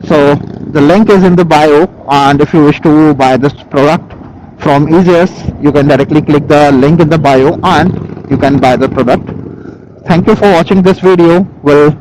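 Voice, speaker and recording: A man with an Indian accent giving a casual, halting product review as a motovlogger, speaking into a helmet intercom microphone. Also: a little high, a little mellow, rough recording. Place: outdoors, riding a motorcycle. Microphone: Bluetooth helmet intercom microphone. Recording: helmet intercom mic with vehicle noise.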